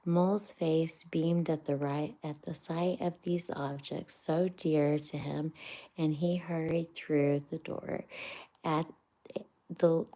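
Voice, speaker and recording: A woman streamer reading a classic children's book aloud in a soft, half-whispered voice, stumbling now and then over words. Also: low voice, steady tone, slow. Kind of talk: live-streamed storybook reading.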